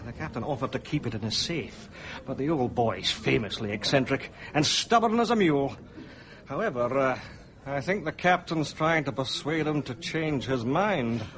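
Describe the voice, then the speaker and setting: Actor as dry, self-assured surgeon. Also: male voice, medium pitch, animated, normal speed, a bit bright, close to an everyday voice. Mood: dry, confiding. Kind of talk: radio drama dialogue.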